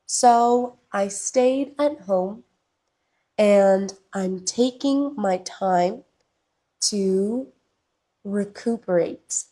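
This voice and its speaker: A woman teaching English at an extremely slow, careful pace, breaking every sentence into short pieces. Her pitch sits in a middle range, and she sounds a bit congested and sick. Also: dark tone. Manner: very slow, short phrases with long pauses. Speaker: podcast host and English teacher. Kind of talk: slow, deliberate English lesson monologue.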